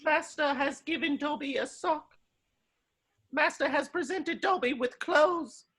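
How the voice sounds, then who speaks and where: A woman voicing a small, devoted, earnest servant creature in a drama read-through, speaking slowly and solemnly. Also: high-pitched, a bit bright. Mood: awed. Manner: speaks of self in third person, slow and solemn.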